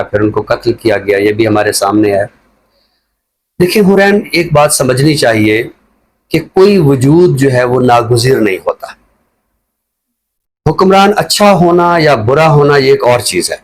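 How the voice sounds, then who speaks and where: Male guest analyst, Pakistani accent, medium pitch. Measured, thoughtful, explanatory, with repeated parallel phrasing. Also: rough recording. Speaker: guest commentator answering a host's questions. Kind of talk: thoughtful spoken analysis in an interview.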